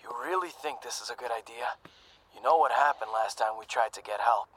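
An actor playing a failing but loyal cyborg soldier in an audio drama, with terse, weary, resigned delivery. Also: male voice, mid-range voice, brisk, fairly bright tone, husky, rough recording.

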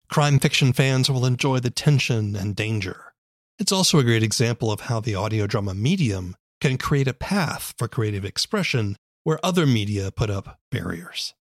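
A man's voice: mid-range voice, slightly rough, no room sound. A man hosting a podcast, reading a scripted outro in a presenter's manner. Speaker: podcast host. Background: theme music.

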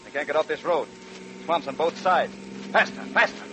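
An actor playing a commanding sheriff in a 1940s radio crime drama, calling out urgent, clipped orders. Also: male voice, a little high, moderate pace, lightly acted. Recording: noisy old 1940s radio recording.

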